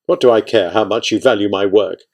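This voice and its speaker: A man voicing a proud, indignant male scientist in an audiobook, forceful, exasperated and emphatic. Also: fairly low pitch, fairly quick. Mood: exasperated, defiant. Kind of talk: audiobook character acting.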